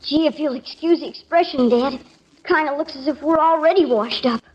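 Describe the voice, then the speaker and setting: A woman's voice: sits high, fairly quick, close to an everyday voice. An American woman acting an eager, cheeky, slangy schoolchild in a 1950s radio comedy. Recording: muffled old radio broadcast.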